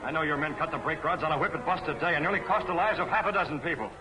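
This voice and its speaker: A man's voice: on the high side, very fast, pushed and bright. A man playing a stern, commanding masked vigilante with an American accent and clipped delivery, in the style of a 1940s film serial. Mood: cool, menacing. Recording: old film soundtrack with music.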